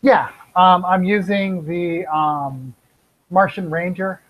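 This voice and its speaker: A man joining an online tabletop game as a player, hesitant and soft-spoken with many pauses. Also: high voice, lo-fi sound. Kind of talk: casual tabletop game play.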